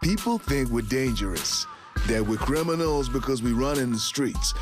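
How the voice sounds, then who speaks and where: An actor playing an earnest, streetwise street racing crew leader in a video game cutscene, talking in a colloquial, persuasive way. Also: male voice, mid-range voice, animated, moderate pace, close to an everyday voice. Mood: earnest, reflective. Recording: music behind the voice.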